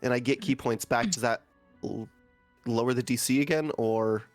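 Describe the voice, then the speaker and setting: A man's voice: medium pitch, normal speed, no room sound, soft background music. A man who is sick with a groggy voice, reading item text aloud as a player in a tabletop game, with casual asides.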